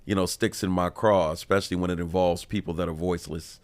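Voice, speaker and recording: Low, stuffed-up male interview guest. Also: fairly even intonation, fast. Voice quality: stuffed-up, nasal. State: congested, stuffy nose. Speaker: interview guest, community organizer. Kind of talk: conversational interview answers. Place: radio studio.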